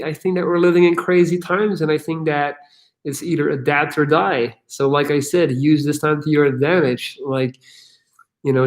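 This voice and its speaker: A man hosts a casual livestream question session with a slightly high voice. He talks loosely and energetically, swears freely and fills his speech with "like" and "um". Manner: casual, profane, energetic, with many fillers. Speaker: channel host answering viewer questions.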